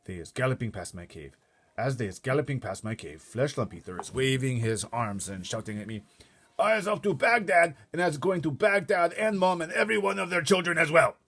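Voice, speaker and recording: Storybook giant played by a man: husky, excitable, kindly, with mangled grammar and swooping pitch. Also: a little fast. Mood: eager. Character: eager, simple, kindly big friendly giant. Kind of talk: storybook read-aloud.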